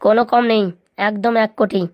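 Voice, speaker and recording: A woman's voice: medium pitch, flat delivery, fast talker, dry recording, muffled recording, natural voice. A woman voicing a menacing male cartoon kidnapper, curt and domineering in comedy dialogue. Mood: cold menace. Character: menacing male kidnapper making ransom demands.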